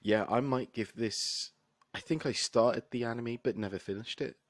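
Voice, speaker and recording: A man with a hoarse, husky voice co-hosting a casual podcast and laughing often between remarks. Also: mid-range voice, moderate pace, no echo. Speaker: podcast co-host. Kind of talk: casual chat.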